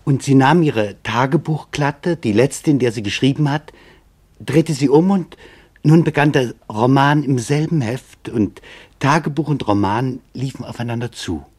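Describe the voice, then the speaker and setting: An actor playing a grave, measured chronicler, narrating a German radio drama in a solemn, deliberate way. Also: male voice, medium pitch, a little mellow, slightly rough, close to an everyday voice. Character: grave, measured male chronicler reporting witness testimony.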